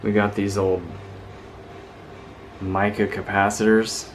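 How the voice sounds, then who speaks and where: Low-voiced man with noisy background. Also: level tone, slow pace. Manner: enthusiastic, marveling, rambling. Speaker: vintage radio hobbyist presenting a video. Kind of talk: informal hands-on show-and-tell commentary.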